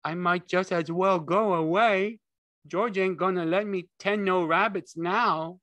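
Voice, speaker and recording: A man's voice: sits high, fairly even intonation, unhurried, a bit bright, no echo, voice pushed up. A man voicing a big, childlike, simple man in an audiobook, naive and pleading, with ungrammatical speech. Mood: mournful, pleading. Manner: naive, uneducated rural speech with bad grammar.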